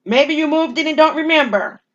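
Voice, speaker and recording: A woman's voice: sits high, animated, moderate pace, a bit bright, dull audio, voice pushed up. A woman playing a practical, matter-of-fact police officer with an official manner in an audio drama. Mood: brisk, authoritative.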